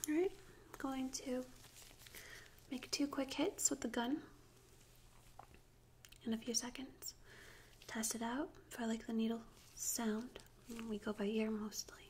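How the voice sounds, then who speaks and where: A woman speaking softly and whispering in a breathy, slow, gentle way for an ASMR roleplay, playing a tattoo artist, close on a binaural microphone. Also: fairly high pitch, fairly even intonation. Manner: slow, gentle, unhurried instructions. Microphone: close binaural stereo microphone. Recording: close binaural recording with audible noise.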